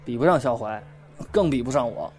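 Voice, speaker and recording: A man's voice: mid-range voice, expressive, a little fast, darkish tone, faint music behind, lightly acted. A young man acting in an audio drama as an anxious, sleepless bookshop owner who hesitates and rambles as he confides in a friend. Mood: awkward, defensive. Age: young adult.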